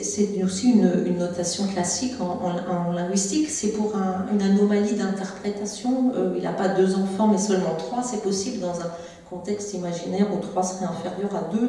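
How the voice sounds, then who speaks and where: A woman's voice: on the low side, warm tone, recorded from a distance. A woman giving an academic talk at a symposium, hesitant, with frequent fillers and restarts. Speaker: conference speaker. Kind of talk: academic presentation. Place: large lecture hall. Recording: echoey lecture hall from afar.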